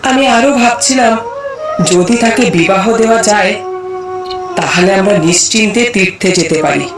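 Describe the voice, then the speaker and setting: A woman's voice: mid-range voice, normal speed, lightly acted. Woman acting a doting queen, echoey sound. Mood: pleased, decided. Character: queen, doting mother, composed and decisive. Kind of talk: cartoon story voice acting. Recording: echoey, distant from mic, music behind.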